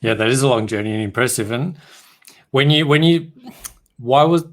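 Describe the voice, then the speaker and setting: A man's voice: mid-range voice, normal speed. A man hosting a business podcast, introducing his guest and asking open questions in a friendly, chatty way. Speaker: podcast host. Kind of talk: interview host questions.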